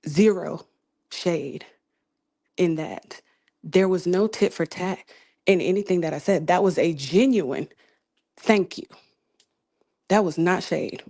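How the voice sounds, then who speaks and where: Soft-spoken reassuring woman host. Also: fairly low pitch. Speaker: podcast host and relationship coach. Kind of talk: calm advice-giving talk.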